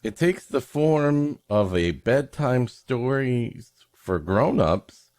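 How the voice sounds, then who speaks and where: A man's voice: mid-range voice, expressive, slow, a little mellow, dry recording. A man hosting a podcast with comedic banter and story introductions, playfully mock-dramatic in his delivery. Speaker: podcast host.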